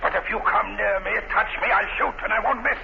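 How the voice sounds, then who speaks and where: An actor playing a merciless megalomaniac criminal mastermind in an old radio crime drama, speaking formally and with menace. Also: male voice, high-pitched, flat delivery, fast talker, creaky. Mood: alarmed, defiant. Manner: menacing, formal, grandiose villain delivery. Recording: noisy, muffled vintage radio recording.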